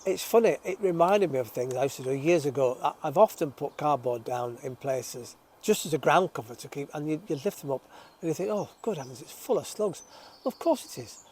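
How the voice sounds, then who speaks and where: Cheerful croaky man, rather high. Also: lively intonation, a little fast, darkish tone, slight background noise. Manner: chatty and cheerful, reading out viewer comments. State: croaky voice, recovering from illness. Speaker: host of an allotment gardening show. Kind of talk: casual chat to camera. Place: outdoors on an allotment, birdsong around.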